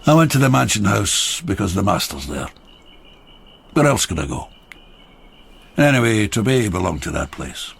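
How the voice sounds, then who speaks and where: An actor playing a rueful rural labourer of old Japan in a radio drama, a wry storyteller with a gravelly, husky voice. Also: male voice, fairly low pitch, very animated, normal speed, pauses often, slight background noise. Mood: scornful, animated.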